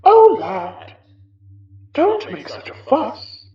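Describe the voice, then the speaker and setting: A sinister, genteel spirit in a horror audio drama. The voice is very high and swoops theatrically, unhurried and condescending, ringing with echo. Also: female voice, wildly swooping pitch, dark tone, dull audio, exaggerated voice. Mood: condescending, soothing. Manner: theatrical, genteel, condescending old-fashioned phrasing. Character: possessing spirit, genteel, smug, sinister, wearing another's skin.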